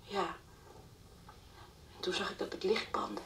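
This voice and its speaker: Worried sick mum in an old radio drama, stammery, creaky voice, bit of hiss. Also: female voice, mid-range voice, level tone, fairly bright tone. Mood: anxious, recounting. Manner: halting, stammering, repeats words. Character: distraught anxious mother of a missing young girl. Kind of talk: Dutch radio play acting, naturalistic. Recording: old radio play recording, faint hiss.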